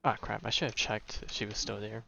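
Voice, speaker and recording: Male game streamer, low-key self-talk, fry-heavy lowish voice, slightly dull mic with faint music. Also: flat delivery, fairly quick, sharp tone. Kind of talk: casual live gameplay commentary.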